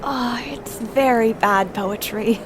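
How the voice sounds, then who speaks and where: A woman playing an earnest, warm and stubborn young woman in an audio drama. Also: fairly high pitch, takes it slowly, background noise. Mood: tender.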